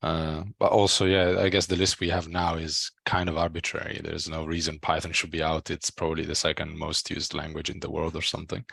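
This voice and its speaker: A man with a very low, creaky voice talks through technical matters casually. He thinks aloud in a tentative way, close and dry on a headset mic. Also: flat delivery, fairly quick, hardly any pauses, fairly bright tone, dry recording. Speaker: software developer on a working group call. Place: small room at home, video call.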